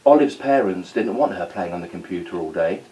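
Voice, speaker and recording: A man's voice: low-pitched, expressive, fairly quick, dark tone, distant mic, highs cut off. A man reading a children's story aloud as storyteller. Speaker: storyteller, school staff reader.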